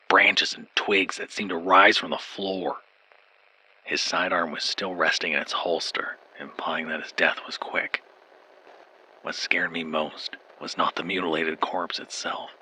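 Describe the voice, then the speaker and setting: A man acts out a frightened soldier giving a grim first-person horror account. His voice is low and husky, with a very sharp, tense edge. The delivery is formal and deliberate, like a doomed final report. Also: heavily acted, rough recording.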